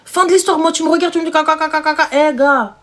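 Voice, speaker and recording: High-voiced woman talking emphatically. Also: fairly even intonation, quick pace, a bit bright. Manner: emphatic, repeats phrases, slangy street French. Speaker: live stream host; single mother. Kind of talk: casual live chat.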